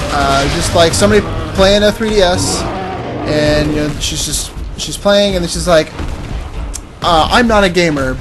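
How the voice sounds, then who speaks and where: A man with a slightly high voice talks loosely and haltingly, like a podcast co-host at play. Game music runs clearly behind his casual commentary. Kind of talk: casual commentary while playing a video game. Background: loud video game soundtrack.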